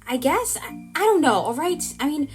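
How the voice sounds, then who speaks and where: A woman's voice: high voice, sing-song, normal speed, pitched above the performer's own voice. Woman voicing a flustered, stammering young camera operator, over music. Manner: stammering, hesitant, protesting.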